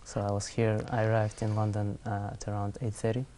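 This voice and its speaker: A young man in his twenties from Kazakhstan, a studio interview guest who answers in a soft, measured, hesitant way. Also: fairly low pitch, completely flat delivery. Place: TV studio set.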